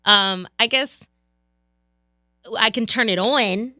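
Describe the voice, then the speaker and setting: A woman's voice: a little high, slow, pushed and bright, no room sound, clean studio sound. A young woman from Maryland who works as a radio personality, chatting casually as a podcast guest with lots of fillers and restarts. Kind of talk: casual chat.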